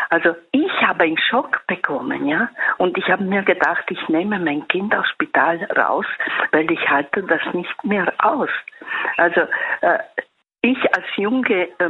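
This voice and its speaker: A woman's voice: lowish voice, breathy edge. A woman in her eighties with an Italian accent, a caller speaking German hesitantly and emotionally, searching for words. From Italy. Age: 80s. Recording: phone line.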